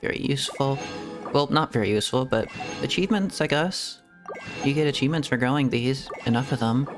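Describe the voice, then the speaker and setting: A man's voice: mid-range voice, brisk. A man streaming a video game, chatting and rambling casually and laughing often. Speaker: VTuber streamer. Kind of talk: casual gameplay stream commentary. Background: faint game music.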